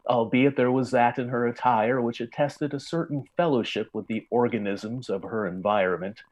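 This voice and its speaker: Male narrator, medium pitch, formal, measured, literary storytelling, old-time radio style. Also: darkish tone, poor audio.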